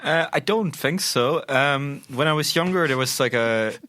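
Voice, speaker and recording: A man in relaxed, casual chat as a cast member of a comedy show. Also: mid-range voice, moderate pace.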